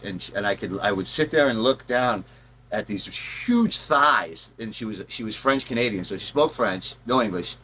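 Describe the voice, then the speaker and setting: Bright, forceful man telling stories casually. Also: lowish voice, a little hiss or hum. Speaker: storyteller, channel host. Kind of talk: casual personal storytelling.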